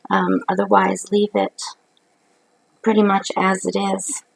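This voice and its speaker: A middle-aged woman from Canada, hoarse from a cold, talking into a webcam from her living room couch at night. Her voice is deeply gravelly and full of fry. She rambles in a chatty way, and a faint hiss sits under the recording. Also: monotone, takes it slowly. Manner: rambling, chatty, many ums. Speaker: craft vlogger. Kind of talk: casual haul video. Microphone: webcam at close range.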